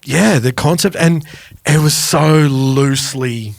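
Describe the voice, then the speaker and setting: A man's voice: a little high, slow, vocal fry, no echo. Man chatting on a dry-sounding podcast. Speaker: podcast co-host. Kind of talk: casual music podcast chat.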